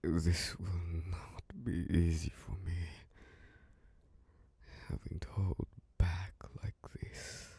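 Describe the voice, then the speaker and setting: A man voicing a gravelly, whispering vampire lord. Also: little pitch movement, dry recording, heavily acted. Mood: craving, strained. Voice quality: deep, gravelly, husky. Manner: slow, hushed, drifting into whispers. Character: obsessive, predatory male vampire lord, charming turning dominant. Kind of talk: ASMR audio roleplay.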